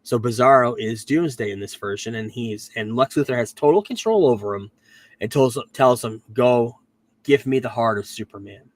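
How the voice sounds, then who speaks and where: Guy with a cold rambling thru a tv recap, um's everywhere, keeps correcting himself, mid pitch. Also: lively intonation, normal speed. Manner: rambling, self-correcting, frequent "um"s. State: getting over a cold, losing his voice. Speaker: podcast host reviewing a TV series. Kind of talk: casual recap chat.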